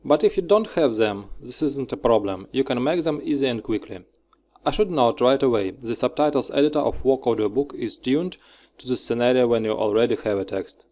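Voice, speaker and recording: A man narrating a scripted software tutorial, giving short, clipped step-by-step instructions. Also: mid-range voice, expressive, fairly quick.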